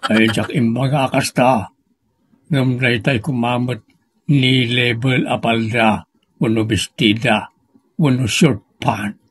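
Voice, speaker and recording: An actor playing a grumbling, defensive old husband in an audio comedy drama, with drawn-out scolding retorts. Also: male voice, medium pitch, fairly even intonation, slightly rough, no echo. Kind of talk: comedy radio-style drama acting.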